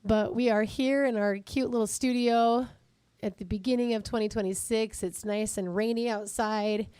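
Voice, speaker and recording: A middle-aged woman with a husky, raspy voice, hosting a casual podcast chat, joking about herself and laughing often. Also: a little high, steady tone, moderate pace, mellow, dry recording. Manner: chatty, self-deprecating, laughs often. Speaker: podcast host.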